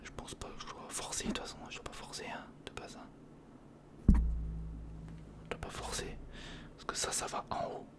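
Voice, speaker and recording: A breathy, unvoiced whisper carries this ASMR commentary. The speaker mutters casually to themselves while working with their hands, repeating short phrases in informal slang, close to the mic. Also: normal speed, long pauses. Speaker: ASMR video creator. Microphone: close-up studio condenser or handheld recorder.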